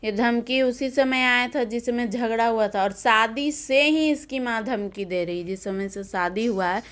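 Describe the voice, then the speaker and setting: A woman's voice: a little high, steady tone, unhurried, fairly bright tone. A woman with a Bihari accent answering an interviewer in plain colloquial speech, insistent and repeating herself. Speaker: interviewee, bereaved relative.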